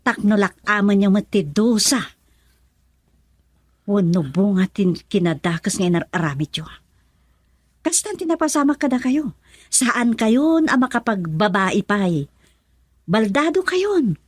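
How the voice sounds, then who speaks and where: A hurt, reproachful grown daughter in a radio drama, acted by a woman. Her pitch is average for a woman and her delivery is emphatic. The sound is close and dry. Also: lively intonation, a little slow, pauses often, no room sound. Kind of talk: Ilocano radio drama acting.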